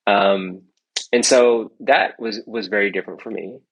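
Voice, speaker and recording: A man's voice: lowish voice, unhurried, breathy edge, dull audio. A middle-aged man, an entrepreneur guest in a casual interview, rambling with many restarts and tag questions. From United States.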